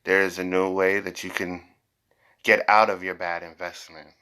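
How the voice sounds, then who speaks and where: A man's voice: low voice, flat delivery, normal speed, bright, forceful tone, clean tone, rough recording. A man giving an informal tarot reading to viewers, speaking bluntly and repeating phrases for emphasis. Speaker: tarot reader, host.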